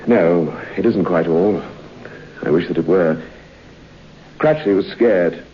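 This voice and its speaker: A man in a vintage radio drama telling a grim story in a grave, measured way. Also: sits low, breathy edge. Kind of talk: 1950s radio drama acting. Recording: dull old radio broadcast with hiss.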